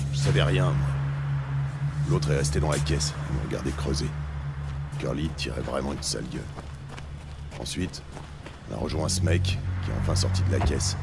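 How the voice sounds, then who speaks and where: An actor playing a nervous, crooked scrapyard owner in his forties in a crime audio drama, talking in a halting, defensive, slangy way. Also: very deep voice, vocal fry, loud music behind the voice. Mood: uneasy, confessing.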